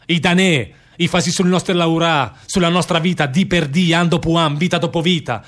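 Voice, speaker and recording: An actor playing a bitter, weary condemned anarchist emigrant worker with a northern Italian Lombard accent, declaiming in dialect in a radio drama. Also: male voice, on the high side, fairly even intonation, brisk, fairly bright tone, lightly acted. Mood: bitter, accusing. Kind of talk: stage-style radio drama monologue in dialect.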